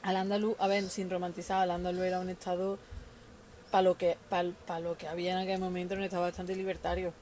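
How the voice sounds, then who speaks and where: A woman's voice: on the low side, little pitch movement, fast talker, a little mellow, a little hiss or hum. A young adult woman speaking thoughtfully in a casual group conversation.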